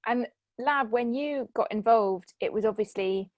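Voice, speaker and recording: A woman's voice: fairly high pitch, measured pace, a little mellow, no room sound, lo-fi sound. A woman hosting a panel Q&A in an informal, easygoing and conversational way. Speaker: Q&A host and moderator. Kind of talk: casual panel moderating.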